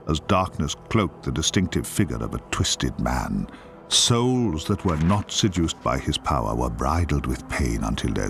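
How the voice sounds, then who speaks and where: An actor playing a grave, ominous storyteller, narrating a dark fantasy game in a solemn, portentous and theatrical manner. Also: male voice, extremely low pitch, vocal fry, noisy background, exaggerated voice. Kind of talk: dramatic scripted fantasy narration.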